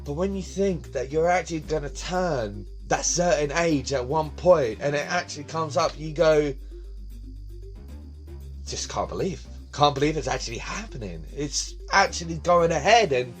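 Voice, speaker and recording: A young man talks to his phone camera in his bedroom, hosting his own vlog. His voice sits a bit high, and he rambles and repeats himself in a casual way. Faint music plays behind him. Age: 20s. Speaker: vlog host. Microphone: phone camera on tripod.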